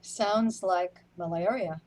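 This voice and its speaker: A woman playing a wry, weary companion in a radio play, speaking in a deliberate way. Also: lowish voice, a little mellow, rough recording. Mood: wry, unruffled. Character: unhurried, matter-of-fact companion, wry and weary.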